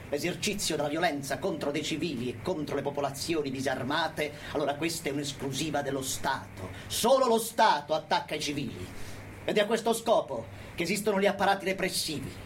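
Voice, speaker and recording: High-pitched defiant anarchist militant, acted by a man. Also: expressive, fairly quick, a little hiss or hum, lightly acted. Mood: indignant, insistent. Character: defiant, unrepentant male anarchist militant addressing his judges. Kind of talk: theatrical political monologue in radio theatre.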